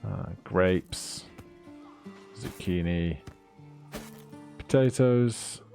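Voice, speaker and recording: A man hosts a casual video game let's play in a low, very mellow voice. He mutters through items with dry humour, with faint music behind him. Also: drawn-out words, creaky.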